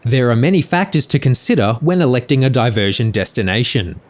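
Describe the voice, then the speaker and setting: A man's voice: mid-range voice, fairly quick. Man narrating a scripted explainer.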